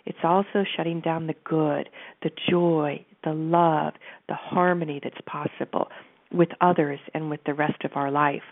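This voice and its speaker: A woman with a stuffy nose, calmly guiding listeners through an exercise from her office and speaking directly to them. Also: fairly low pitch. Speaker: host and coach. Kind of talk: guided teaching talk. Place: home office. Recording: narrow phone-like line.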